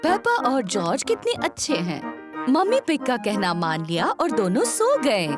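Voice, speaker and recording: A woman's voice: fairly high pitch, huge pitch swings, normal speed, music in the background. A woman voicing a sweet old grandmother pig in a children's cartoon, fond and cooing in manner. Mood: doting.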